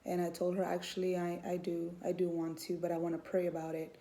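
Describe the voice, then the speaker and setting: A woman tells her own faith story in a personal testimony. She speaks earnestly, pausing often with ums as she gathers her thoughts. Her voice is warm and mellow and sits slightly low. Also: flat delivery. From Houston, Texas. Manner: earnest, hesitant with many ums, faith-filled. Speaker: student and mother.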